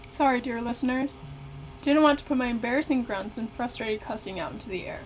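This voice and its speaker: An actress playing a curious, courteous investigative podcaster in an audio drama, speaking conversationally. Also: female voice, fairly high pitch, a little fast, slight background noise, close to an everyday voice, low-quality recording. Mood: wry, explanatory. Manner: courteous, conversational, addresses listeners directly. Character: curious, polite female investigative podcaster among zombie fighters.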